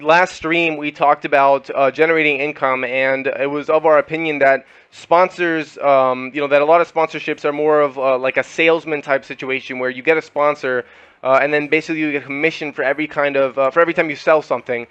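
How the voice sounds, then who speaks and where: Young man, podcast host, rambling casual chat, smooth voice, dry close sound. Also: fairly high pitch, steady tone, a little fast, fairly bright tone, no echo, lo-fi sound. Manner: loose, rambling, many fillers and laughs.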